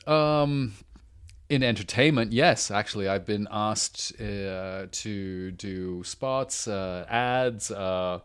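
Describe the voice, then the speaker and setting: Suave British man, low voice. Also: takes it slowly, a bit bright, clean tone. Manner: dry, suave, hesitant with many ums. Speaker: guest. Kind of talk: casual podcast chat.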